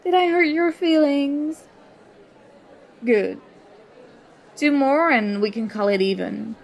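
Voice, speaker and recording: A woman's voice: sits high, lively intonation, takes it slowly, gravelly, a faint crowd, heavily acted, low-quality recording. A woman acting an indignant, sarcastic young student confronting a former school enemy in an audio roleplay. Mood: accusing, hurt. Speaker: ASMR roleplay performer. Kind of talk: ASMR roleplay acting, one side of a dialogue.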